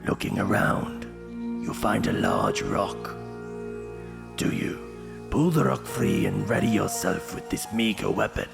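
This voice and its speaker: A man playing a menacing fantasy gamebook narrator, addressing the listener with theatrical, deliberate dread. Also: fairly low pitch, huge pitch swings, creaky, loud music behind the voice, exaggerated voice. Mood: foreboding. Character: ominous gamebook narrator addressing the reader as adventurer.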